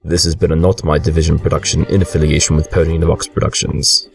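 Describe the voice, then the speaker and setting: Male credits announcer: bottom-of-the-range pitch, gravelly fry, scripted read with music beneath. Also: flat delivery, fast, recorded from a distance.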